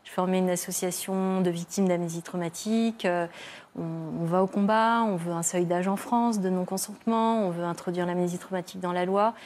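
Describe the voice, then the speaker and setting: An older woman speaking as a guest on a television talk show, giving a personal testimony with many pauses, fillers and restarts. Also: fairly low pitch, fairly even intonation, darkish tone, a little hiss or hum. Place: television studio.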